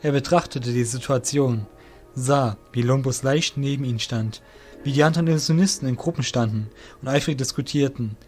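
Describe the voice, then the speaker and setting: A man narrating a scripted audio drama as storyteller, in a measured, literary reading manner. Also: mid-range voice, a little mellow.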